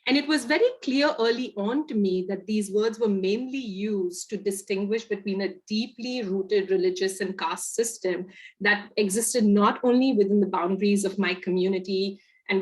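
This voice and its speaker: A woman's voice: mid-range voice, normal speed, poor audio. Reflective Indian woman telling her story. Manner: reflective storytelling, lively emphasis. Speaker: guest speaker. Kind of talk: webinar presentation. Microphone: video call.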